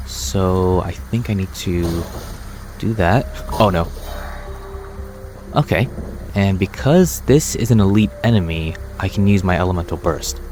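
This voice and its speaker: A man's voice: sits low, measured pace, long pauses, darkish tone, faint music behind. A man hosting a gaming video, giving casual gameplay commentary.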